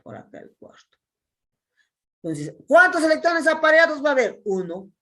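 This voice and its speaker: A woman's voice: high voice, big pitch swings, normal speed, pushed and bright. A Peruvian woman teaching a chemistry class, working through exercises and asking her students questions that she often answers herself. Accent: Peruvian Spanish. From Peru. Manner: asks students questions and answers them herself, counting step by step. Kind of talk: classroom lecture solving exercises.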